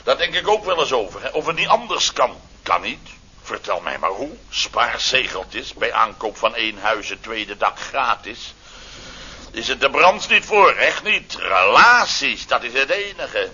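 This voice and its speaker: A man's voice: medium pitch, very animated, unhurried, sharp tone, husky, full character voice. An actor playing a flustered, self-important male boss in a Dutch radio comedy, stammering through pompous, wordy phrases. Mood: indignant. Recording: dull, faintly noisy vintage radio recording.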